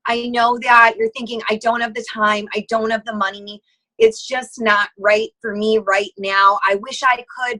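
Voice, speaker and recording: A woman on a conference call giving a casual motivational sales pitch, earnest and encouraging in manner. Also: pushed and bright, poor audio. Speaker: sales team member.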